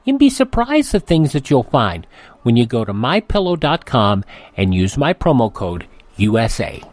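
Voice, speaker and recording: An American man with a mid-pitched voice speaks as a radio host. His delivery is casual and folksy, like unscripted talk, recorded close and very dry. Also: big pitch swings, normal speed, darkish tone, no room sound. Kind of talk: casual host-read advertisement and programme introduction.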